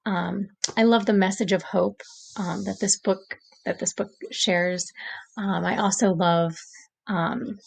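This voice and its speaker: A woman's voice: medium pitch, fairly even intonation, takes it slowly, vocal fry, slightly rough, rough recording. A woman who reads picture books aloud for children, speaking as a storytime host. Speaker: storytime reader, educator. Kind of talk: picture book read-aloud.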